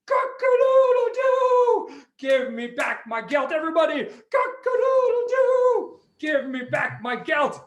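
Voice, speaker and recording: A brash magical rooster from a folk tale, crowing high and loud in long sing-song calls. The voice swoops widely and rings in a roomy space. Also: big pitch swings, takes it slowly, highs cut off, heavily acted. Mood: loud, demanding.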